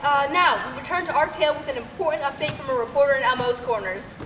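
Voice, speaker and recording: A woman's voice: high-pitched, fast talker, close to an everyday voice. A young woman playing a flustered, pompous radio announcer in a comic old-time radio play. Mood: formal, flustered. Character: flustered, apologetic radio announcer and bossy hotel manager. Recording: echoey hall, far mic, clear noise.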